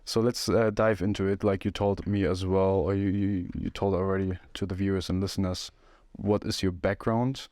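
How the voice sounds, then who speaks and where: A man from northern Germany hosts a podcast, putting questions to his guests. His voice is low and fairly even, and the sound is dry. He phrases things loosely and rambles a little. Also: normal speed, few pauses, dry recording. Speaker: podcast host, company lead. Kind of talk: casual podcast interview.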